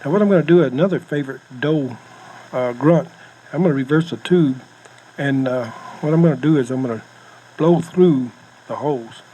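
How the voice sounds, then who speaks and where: A man's voice: medium pitch, normal speed, dark tone. Noisy cassette recording of American man instructing. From United States. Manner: plainspoken, folksy phrasing. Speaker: hunting instructor. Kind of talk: informal instructional narration. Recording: noisy home-style cassette tape recording.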